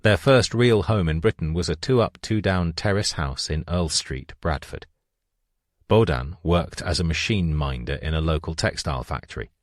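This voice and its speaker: A man reading a nonfiction audiobook aloud in a measured, even narration style. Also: deep voice, dry recording, studio quality.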